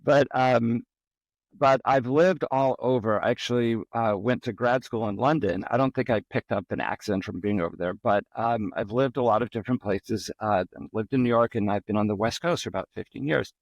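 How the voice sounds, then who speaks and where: A man's voice: mid-range voice, fairly quick, no echo, dull audio. A middle-aged man from the Boston area, a podcast guest telling personal stories in a casual, rambling way with many ums. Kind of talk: casual interview storytelling.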